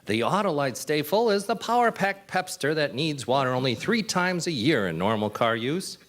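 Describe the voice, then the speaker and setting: A man playing an old-time radio announcer, giving a polished sales pitch in a 1950s-style commercial. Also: big pitch swings, moderate pace, fairly bright tone. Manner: polished, smooth sales patter.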